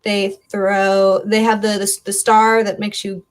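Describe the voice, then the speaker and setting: Woman guest, raspy and tired from streaming, easygoing, mid pitch. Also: little pitch movement, a little slow.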